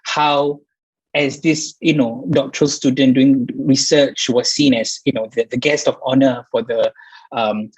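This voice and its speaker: A Singaporean-accented man takes part in an informal online panel. His voice is a little husky and mid-pitched, and he talks haltingly, repeating phrases and adding small tags. The video-call sound is dry and slightly dull. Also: fairly even intonation, dry recording. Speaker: doctoral researcher on a panel.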